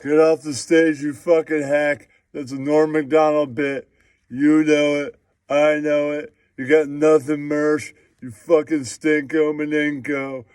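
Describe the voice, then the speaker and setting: A man's voice: a little high, level tone, unhurried, a little mellow, clean tone, no room sound, close to an everyday voice. A man playing an angry heckler in a puppet comedy, berating a comedian with repeated profane insults.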